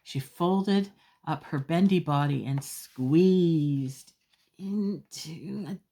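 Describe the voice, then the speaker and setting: Woman, children's storyteller, low voice, slight creak, slow emphatic delivery, expressive read-aloud. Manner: simple, emphatic phrasing with small asides to young listeners.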